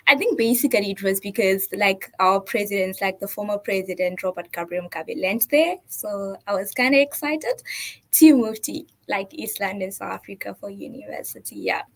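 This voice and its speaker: A woman's voice: mid-range voice, moderate pace. A young woman from Zimbabwe, a trainee accountant answering interview questions casually with frequent fillers and laughter. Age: 20s. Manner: giggly, lots of "like" fillers.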